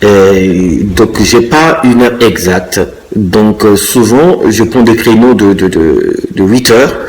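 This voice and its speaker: A man in his fifties from Congo, answering interview questions thoughtfully and pausing often to find his words. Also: fairly low pitch, a little mellow, soft background music, poor audio. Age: 50s. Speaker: interviewee, dancer and choreographer.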